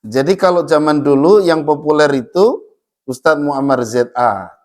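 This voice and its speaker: A man's voice: a little high, a little slow, darkish tone. A man teaching Quran recitation in a mosque, chatting and joking, and reading verses aloud to show how they sound. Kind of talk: casual religious study talk.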